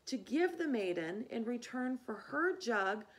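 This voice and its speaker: A little storybook fox as acted by a woman begs and wheedles in a high, sing-song voice. The delivery is drawn out and very lively, full of imploring appeal. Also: a little slow, low-quality recording. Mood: desperate pleading.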